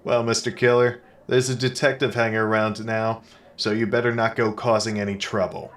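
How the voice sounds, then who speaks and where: An actor playing a self-important, earnest but dim hard-boiled detective in a comedic audio drama, with melodramatic noir delivery and music behind him. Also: male voice, fairly low pitch, flat delivery, normal speed, lightly acted. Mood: confident. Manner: melodramatic first-person noir narration. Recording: music bed under the voice.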